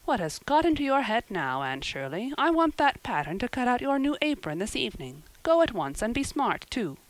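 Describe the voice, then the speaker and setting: A woman's voice: on the high side, big pitch swings, normal speed, dry recording, pitched above the performer's own voice, poor audio. Stern older guardian, acted by a woman narrator: storybook character, blunt, sarcastic, scolding, no patience for nonsense. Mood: exasperated, scolding.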